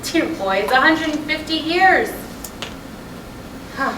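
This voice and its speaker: A woman's voice: sits high, lively intonation, slow pace. A woman reading the part of a restless, sardonic wife in a staged play, in blunt, conversational dialogue. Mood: wistful, musing. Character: restless, sardonic wife, easily upset, nostalgic. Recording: distant mic in echoey, noisy room.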